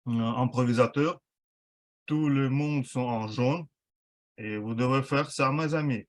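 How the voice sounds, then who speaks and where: A man of medium pitch gives an informal report to a club as its timekeeper. He speaks slowly, in hesitant, broken phrases with pauses between them. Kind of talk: informal spoken report to a group.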